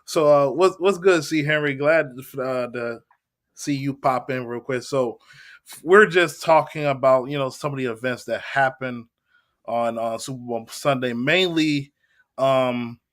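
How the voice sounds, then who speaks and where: A man's voice: medium pitch, measured pace. A man hosting a sports talk show, casually setting up a question for a co-host. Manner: relaxed, asks the questions.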